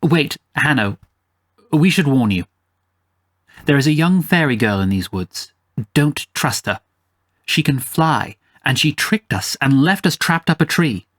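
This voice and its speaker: A man's voice: animated, unhurried, a bit bright, dry recording. A man voicing a proud, guarded young prince who speaks formally, in a fantasy storybook reading. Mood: earnest. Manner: formal, head-high declarations.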